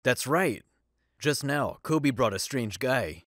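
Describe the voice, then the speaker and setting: Man acting a gruff dry-sounding pirate crewman. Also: medium pitch, expressive, slow, fairly bright tone, no room sound. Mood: insistent. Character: wary rough pirate crewman. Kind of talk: anime-style manga dub acting.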